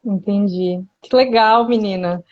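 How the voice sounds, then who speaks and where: A woman's voice: mid-range voice, a little slow, muffled recording. A woman in her thirties from São Paulo with a mixed São Paulo and Northeastern Brazilian accent, hosting a casual live chat in a friendly, chatty way over a video call. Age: 30s. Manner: friendly, chatty, laughs often. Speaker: host.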